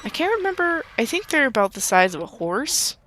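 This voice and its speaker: Calm young woman rambling over gameplay. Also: high-pitched, brisk, a little hiss or hum. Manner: calm, soothing, rambling talk with light laughs. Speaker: gaming channel host. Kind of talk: casual gameplay commentary. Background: background music.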